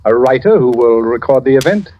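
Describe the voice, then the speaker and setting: An actor playing a self-satisfied, shrewd detective with a measured, theatrical manner in an old radio drama. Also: male voice, mid-range voice, expressive, dark tone, muffled recording, close to an everyday voice. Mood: smug, theatrical. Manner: pompous, measured, assured. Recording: noisy old radio recording with clicks.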